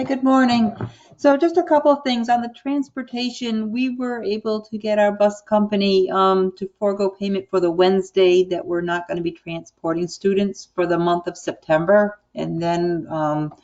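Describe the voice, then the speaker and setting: American woman, even-toned, on muffled call audio. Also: low voice. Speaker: school board chair. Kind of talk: speaking in an online meeting. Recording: dull, lo-fi meeting call audio.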